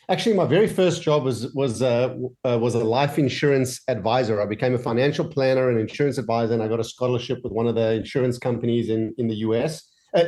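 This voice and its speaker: A man in his forties whose accent blends South African and Australian, speaking at an average pitch. He talks in a relaxed, meandering way, full of fillers and restarts, over a video call from his home office. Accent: mixed South African and Australian. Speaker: guest entrepreneur. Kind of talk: casual interview chat.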